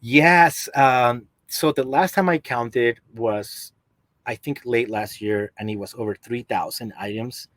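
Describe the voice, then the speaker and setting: A man's voice: mid-range voice, a little slow, a bit bright, no room sound. A middle-aged man with a Peruvian accent, chatting casually as a podcast guest about his hobby. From Peru. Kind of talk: casual chat about collecting. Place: basement room at home in a video call.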